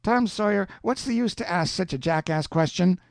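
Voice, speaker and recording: Man putting on a squeaky stubborn country kid, all worked up, dry mic. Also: normal speed, vocal fry, clear, resonant tone, dry recording. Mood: indignant. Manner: indignant, rustic boyish dialect. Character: boy, stubborn and indignant.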